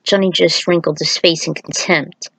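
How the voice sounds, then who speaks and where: A woman's voice: lowish voice, fairly even intonation, normal speed, gravelly, fairly bright tone, breathy edge, no echo, low-quality recording. A woman reading a fan-fiction story aloud as an amateur narrator, occasionally stumbling and repeating words.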